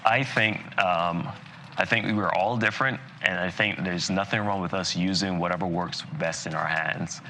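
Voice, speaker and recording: A man from Barbados giving a live continuing-education lecture on dentistry, talking in a relaxed, conversational way with plenty of fillers and asides. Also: deep voice, normal speed, a little hiss or hum. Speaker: dental lecturer.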